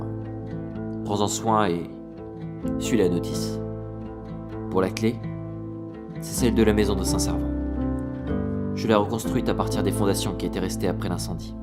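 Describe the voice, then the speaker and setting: Middle-aged absent dad, low and solemn, confessional message tone, played by a male actor, audio drama with guitar. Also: close to an everyday voice. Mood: solemn, loving. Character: remorseful absent father leaving a tender recorded message. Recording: plucked guitar music behind.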